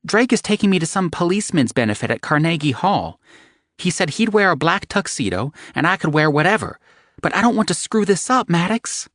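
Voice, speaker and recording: A man's voice: big pitch swings, measured pace, no room sound, studio quality. A man voicing an anxious, tearful young woman who talks in a pleading, strained way. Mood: panicked. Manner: pleading, breathless, high and strained.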